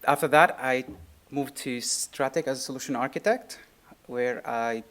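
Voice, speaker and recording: A man's voice: mid-range voice, moderate pace, a bit bright. A man from Syria with a Syrian Arabic accent, giving an informal, light-hearted technical talk to an audience. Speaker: workshop co-presenter, software engineer.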